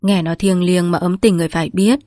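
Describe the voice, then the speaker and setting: A woman's voice: fairly low pitch, fairly even intonation, fast talker, mellow, dry recording, close to an everyday voice. Storybook role: frail, dying old family patriarch read by a woman, rambling, bitter and mournful, very dry close sound. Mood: solemn, wistful. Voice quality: weak, breathy, trembling delivery. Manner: lamenting, rambling, scolding.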